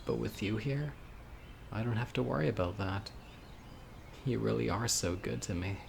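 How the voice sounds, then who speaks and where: A man playing an obsessive, dying young witch in an audio roleplay, speaking to his beloved in a deliberate, intimate, pleading way. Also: lowish voice, gravelly, a little mellow, a little hiss or hum. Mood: calm, ominous. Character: obsessive, dying young male witch, devoted yandere lover. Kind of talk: audio roleplay, dramatic monologue to listener. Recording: outdoor nature ambience, crickets and birds. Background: insects, birdsong.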